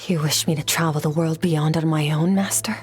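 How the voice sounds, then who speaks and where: A woman's voice: sits low, fairly even intonation, brisk, soft background music, lightly acted. A woman playing a reserved, dutiful young warrior apprentice in a video game, terse and serious. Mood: hurt, questioning. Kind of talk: dramatic video game voice acting.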